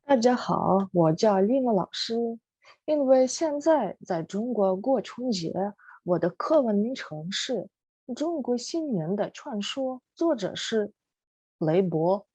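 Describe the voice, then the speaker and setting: A woman teacher reads a legend aloud, slowly and with a very animated melody. Her voice is fairly high and mellow, with a breathy edge. She phrases each part deliberately. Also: no room sound, poor audio. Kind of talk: reading a children's legend aloud.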